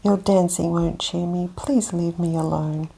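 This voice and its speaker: Grumpy bull ant, slow sulky whisper, dark, woman doing it. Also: fairly low pitch, fairly even intonation, vocal fry, breathy edge, heavily acted.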